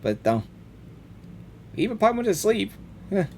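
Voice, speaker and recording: A man doing casual, slangy, excitable live reaction commentary as a game streamer. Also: high-pitched, moderate pace, creaky, noisy background.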